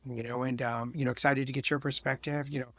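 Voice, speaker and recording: A man's voice: medium pitch, level tone, fast, a bit bright, dry recording. A man hosting a podcast with his daughter, chatting loosely and joking with many fillers. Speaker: podcast host. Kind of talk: casual chat.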